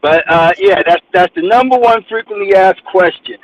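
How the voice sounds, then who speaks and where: A man's voice: high-pitched, normal speed, lo-fi sound, slight background noise. A man from New Jersey, a guest author, telling stories with emphasis and acting out the things people say to him. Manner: emphatic storyteller, acts out quotes. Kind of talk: animated interview talk.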